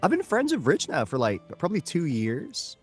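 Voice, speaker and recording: A man's voice: on the high side, huge pitch swings, moderate pace, slightly rough, faint music behind. A man chatting casually as an interview guest, effusive and enthusiastic in how he talks. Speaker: guest, voice and screen actor. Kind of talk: casual chat and anecdote.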